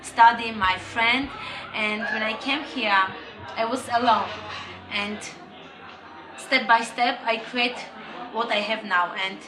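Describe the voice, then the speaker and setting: A woman's voice: fairly high pitch, slow pace, long pauses, roomy sound, noisy background. A woman who grew up in Poland and owns a cafe, answering an interview hesitantly with many fillers. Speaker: cafe and shop owner.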